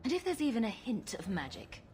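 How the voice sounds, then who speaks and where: Blunt, stern heroine, expressive pitch. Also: female voice, fairly quick, faint music behind. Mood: stern, impatient. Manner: blunt, commanding. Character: stern, impatient female adventurer hero, threatening and blunt. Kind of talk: video game voice acting.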